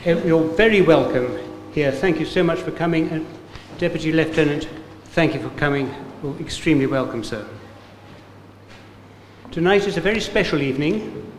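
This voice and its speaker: A man giving a courteous, formal welcome speech to an audience as the chair of an event, in measured phrases. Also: fairly high pitch. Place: inside a church at a live event. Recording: echoey church hall, background noise.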